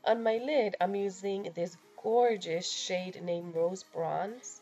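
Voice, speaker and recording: A woman's voice: on the low side, vocal fry, darkish tone. A young woman with a nasal voice from a cold, talking casually through a makeup tutorial voice-over. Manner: casual, chatty, a little crude. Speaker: beauty vlogger.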